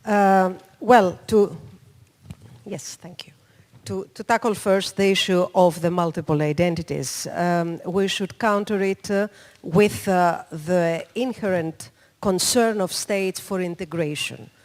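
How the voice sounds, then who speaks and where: A panelist speaking formally and haltingly, with many fillers, in a conference hall. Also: mid-range voice. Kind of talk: unscripted panel remarks. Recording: long hall reverb.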